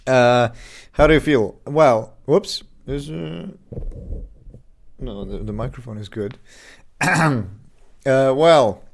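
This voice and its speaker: A man's voice: mid-range voice, slow, creaky, long pauses. A man streaming his game development live, chatting casually with fillers and restarts while getting over an illness with a slight cough. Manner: relaxed, rambling, many fillers and restarts.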